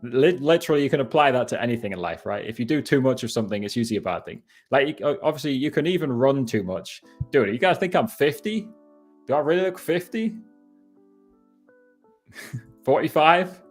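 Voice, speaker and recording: A man's voice: medium pitch, animated, fairly quick, soft background music. A man in his thirties with a northeast English accent, hosting a casual live question-and-answer stream and rambling with frequent laughs and self-corrections. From northeast England. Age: 30s. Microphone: headphones on.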